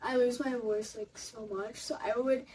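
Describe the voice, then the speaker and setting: Teen girl, raspy, halting, lots of "like", faint background noise. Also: fairly high pitch, steady tone, darkish tone. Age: teens. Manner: halting, heavy use of "like". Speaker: young theater student. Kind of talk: casual chat. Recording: distant mic, faint room noise.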